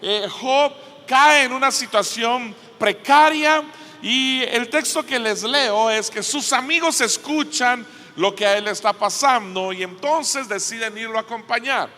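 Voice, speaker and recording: A male preacher with a Costa Rican accent delivers a sermon in a high, bright, forceful voice. He speaks emphatically and leaves deliberate pauses. His words ring with the long reverb of a large hall. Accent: Costa Rican Spanish. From Costa Rica. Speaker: guest preacher at a church conference. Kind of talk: sermon with scripture reading and prayer. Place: large indoor church conference hall.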